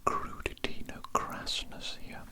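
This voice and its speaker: An evil cannibal doctor, played by a man for an ASMR roleplay, speaks entirely in a breathy whisper. He is formal, menacing and controlling. His phrases come politely and are often repeated. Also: takes it slowly, lightly acted. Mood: smug, prim. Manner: formal, politely domineering, repetitive. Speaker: ASMR performer.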